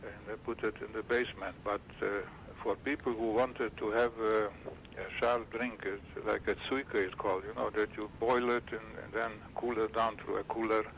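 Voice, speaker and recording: A man in his seventies from Romania, recalling his childhood in an interview and often pausing to search for words. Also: lowish voice, level tone, fairly bright tone, muffled recording, a little hiss or hum. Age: 70s. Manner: halting, restarts and searches for words.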